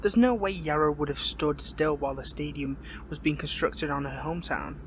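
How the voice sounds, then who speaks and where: A man playing a wounded young captive hero in an audio roleplay, wry and defiant, speaking haltingly with a pained, weary strain. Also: on the high side, fairly bright tone, slight background noise. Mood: confused, exasperated. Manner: halting, sardonic, stammering. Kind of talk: dramatic audio roleplay, one-sided dialogue.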